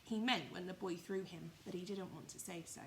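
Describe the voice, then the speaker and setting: A woman with a bright, crisp-edged voice reads a children's story. Her delivery is expressive and dramatised, like a primary school storyteller, with some noise behind her. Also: on the low side, a little fast.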